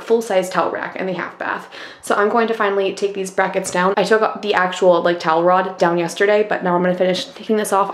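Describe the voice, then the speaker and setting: A young woman in her twenties, chatting casually and asking her viewers questions while narrating a clean-with-me video at home. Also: mid-range voice. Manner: chatty, upbeat, asks viewers many questions. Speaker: lifestyle vlogger. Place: home, kitchen and bathroom. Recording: narration over loud background music.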